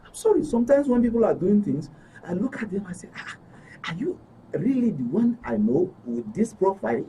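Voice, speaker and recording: Man, Nigerian accent, talk show guest, lively swings in pitch, emphatic repetition, faint background noise. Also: a little fast. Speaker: studio guest. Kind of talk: conversational personal account. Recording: dull distant mic, faint background noise.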